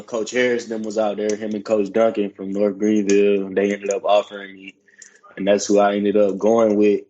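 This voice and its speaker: Young male guest from South Carolina, low flat voice, relaxed storytelling, lo-fi recording. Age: young adult. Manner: laid-back, matter-of-fact storytelling. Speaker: guest, college football player. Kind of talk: casual interview.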